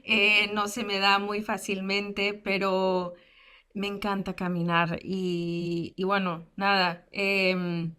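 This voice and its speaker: A woman's voice: mid-range voice, level tone, slow pace, pushed and bright, clean tone. A woman in her thirties, raised in the United States, telling her life story as a guest speaker in a candid, self-deprecating way with many fillers. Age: 30s. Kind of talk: personal testimony in a lecture.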